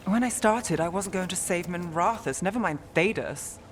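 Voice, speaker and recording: A woman's voice: lowish voice, moderate pace, slight background noise, close to an everyday voice. Woman playing a dry, weary female detective, rainy backdrop. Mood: weary, troubled. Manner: dry, understated wit. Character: weary, wry, guarded young female detective in a fantasy city. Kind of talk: video game voice acting.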